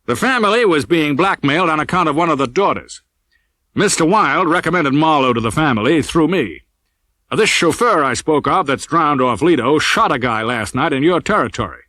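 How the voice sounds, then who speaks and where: A man's voice: on the high side, animated, moderate pace, pushed and bright, dry recording, pitched above the performer's own voice. A man voicing a brash, wry, tough-talking male police detective in an audiobook crime story. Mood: pleased, explanatory.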